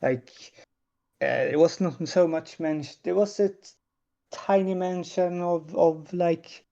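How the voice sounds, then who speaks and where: A man with a Swedish accent talking in a casual panel discussion, hesitant and full of pauses and fillers. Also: on the high side, steady tone, vocal fry, dark tone, dull audio. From Sweden.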